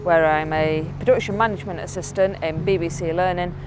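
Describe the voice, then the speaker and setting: A woman with a slightly low voice answers documentary interview questions in a chatty, upbeat manner. Music plays behind her. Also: fairly even intonation, fairly quick. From Manchester, England. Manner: chatty, upbeat, self-described jolly.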